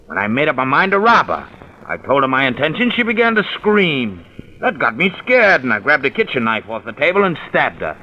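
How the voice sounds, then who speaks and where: A man's voice: mid-range voice, huge pitch swings, a little fast. A man playing a boastful, defiant jailed thief with a cocky, emphatic manner in an old-time radio crime drama. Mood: boastful, insistent. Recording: muffled vintage radio recording.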